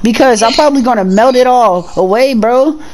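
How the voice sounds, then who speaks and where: A performer playing an excitable teenage demon boy on the run, talking in casual slang in an improvised video-game roleplay. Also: medium pitch, normal speed, noisy background, close to an everyday voice.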